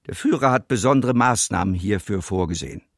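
A man's voice: fairly low pitch, lively intonation, a little fast, no echo, studio quality. An actor playing a cold, domineering male Nazi occupation official in a German radio docudrama. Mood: cold, commanding.

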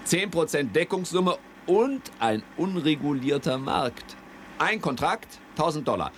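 Casting: smooth-talking, grandiose broker, very animated intonation, seventies radio drama, slight background noise. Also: male voice, on the high side, unhurried. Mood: persuasive, cocky. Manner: grandiose, persuasive, patronising. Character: slick, cocky male commodities broker talking up quick riches. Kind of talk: 1970s German radio play acting.

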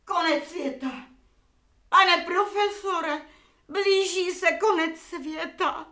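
An actress playing an excitable, superstitious servant woman in a radio drama, chattering colloquially. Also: extremely high pitch. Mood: excited.

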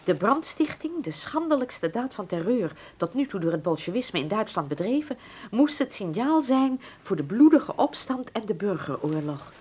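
An actress playing a gentle, sorrowful married German lady in a radio drama, speaking formally and thoughtfully, with some hesitation. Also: medium pitch, very animated, normal speed, husky. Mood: troubled.

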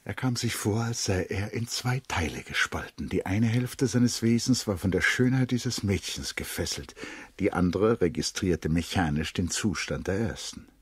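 A man giving measured, scripted literary narration for a radio play. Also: low voice, animated, slightly rough.

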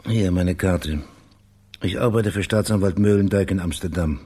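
An actor in a German radio crime drama playing a polite, persistent investigator with a matter-of-fact way of asking questions. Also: male voice, sits low, normal speed, dark tone, slightly rough. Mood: polite, probing. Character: male investigator posing as biographer, polite, probing.

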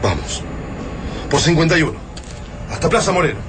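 A man's voice: on the high side, big pitch swings, normal speed, over music. An Argentine actor in a radio drama playing a weary resistance survivor who longs for his family, blunt and emotional. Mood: anguished, resigned. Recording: clearly noisy radio drama ambience.

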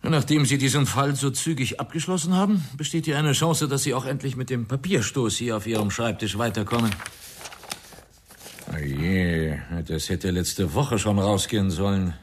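An actor playing a brisk, patronising senior police officer in a radio crime drama, with a husky voice and a hearty, pointed manner. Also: male voice, medium pitch, natural voice. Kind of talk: 1990 German radio crime drama acting.

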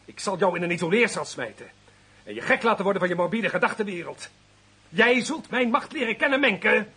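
A very husky, rough-edged vindictive official in a radio play. His voice is fairly high for a man and cuts through with a hard, bright edge. He threatens theatrically, drunk on his own power. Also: expressive, brisk, full character voice. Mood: furious, menacing. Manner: menacing, theatrical, swinging between hiss and bellow. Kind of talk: radio drama acting.

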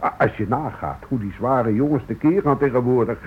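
A man's voice: medium pitch, fairly quick, a little hiss or hum, lightly acted. An actor playing a defensive, self-assured small businessman in a radio play, speaking insistently. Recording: thin phone-like old radio recording.

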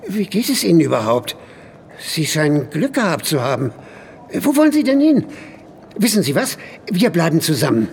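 An actor playing a frightened, hard-of-hearing old man in a radio play, talkative, loud and plaintive. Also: on the high side, sing-song, moderate pace, vocal fry, slight background noise. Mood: agitated, plaintive. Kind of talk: German radio drama acting.

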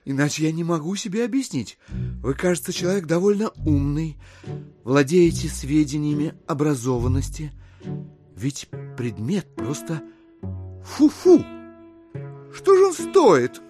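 Wheedling gentleman schemer, fussily polite, highish and very animated, period audio play with music. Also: a little slow, pauses often. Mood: indignant. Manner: mannered, ingratiating, exasperated politeness. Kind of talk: theatrical audio drama acting in Russian classic.

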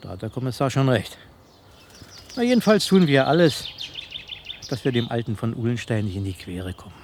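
An actor playing a cynical, chatty insider passing on police gossip in a sardonic, colloquial way in a radio drama. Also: male voice, medium pitch, lively intonation, brisk, darkish tone, lightly acted. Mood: sardonic, explaining. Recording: faint outdoor ambience with birdsong. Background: birdsong, ambient noise.

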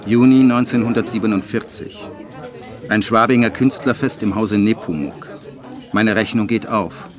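An actor playing a wry, detached screenwriter who narrates a radio drama in a measured, dry, literary way. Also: male voice, fairly low pitch, a crowd in the background, natural voice. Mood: reflective. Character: male screenwriter narrating his postwar schemes, wry and detached. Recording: studio radio play over music.

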